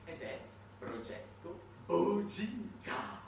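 A man's voice: high-pitched, sing-song, very slow, creaky, a little hiss or hum. A man narrating a satirical theater monologue as a solo stage actor, in a dramatic, declamatory way. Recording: very echoey, distant stage recording with music.

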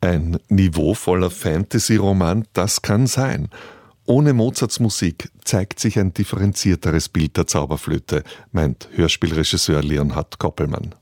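Deep-voiced man presenting on radio. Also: a little mellow, no room sound, clean studio sound. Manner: measured, formal. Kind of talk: scripted narration.

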